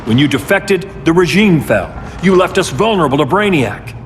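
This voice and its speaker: An actor playing a grim, all-powerful hero turned tyrant, in video game cutscenes, speaking in an intense, commanding, bitter way. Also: male voice, a little high, animated, normal speed, background noise, close to an everyday voice. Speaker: game character. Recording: game cutscene with loud effects behind.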